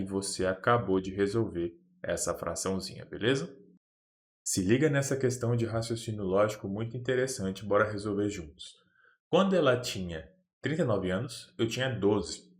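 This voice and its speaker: A man with a Brazilian Portuguese accent teaching a math lesson step by step, patiently checking that the viewer follows. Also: lowish voice. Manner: patient, keeps checking in with "beleza?".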